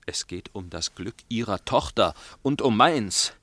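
An actor playing an earnest, lovesick young gentleman with a guilty secret in a German radio drama, often intimate and hushed. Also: lowish voice, expressive, measured pace. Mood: pleading. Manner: earnest, hesitant, intimate, often dropping to a hush. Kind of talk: German radio drama, first-person narration and dialogue.

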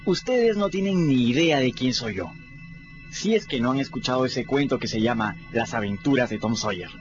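A man's voice: medium pitch, expressive, moderate pace, natural voice. A man plays a plucky teenage river boy in a radio play, folksy and chatty as he talks straight to the listeners. His voice swings up and down a lot. The recording sounds dull, with music playing underneath. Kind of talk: first-person radio play narration.

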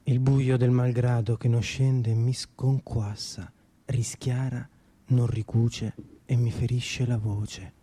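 A man's voice: mid-range voice, level tone, takes it slowly, mellow, husky. A man reciting a poetic monologue as a dramatic performer.

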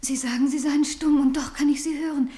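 A woman acts out a dreamy young woman in a radio drama. Her voice is high yet soft-edged and dark in tone, with a hushed, breathless intensity and a fearful temper. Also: steady tone, brisk, lightly acted. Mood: uneasy, frightened. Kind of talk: German radio play acting.

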